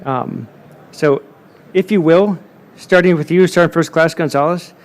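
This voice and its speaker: Moderator, male, slightly high voice, emphatic and repetitive delivery for a formal panel. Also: unhurried, a little hiss or hum. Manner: emphatic, repeats words while building a point.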